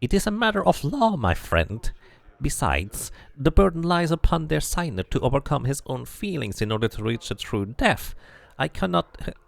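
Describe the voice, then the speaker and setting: A man's voice: on the high side, very animated, normal speed, vocal fry, no echo, voice pushed up. A man voicing a tiny, wizened, fidgety man in his nineties, an evasive official with fussy, old-fashioned formal speech for a game. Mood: wary, insistent.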